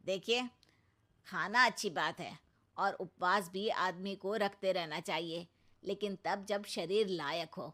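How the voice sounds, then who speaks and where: A woman's voice: lowish voice, slow, fairly bright tone. A woman voicing an admonishing family doctor in a comic cartoon, with a firm, advising manner.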